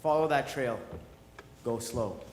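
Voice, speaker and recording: A man playing a callous, taunting henchman in a radio drama, gruffly giving orders. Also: medium pitch, slow, a little mellow, natural voice. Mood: menacing. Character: callous, mocking male henchman with a gun. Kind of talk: staged radio drama performance. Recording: echoey hall, faint noise.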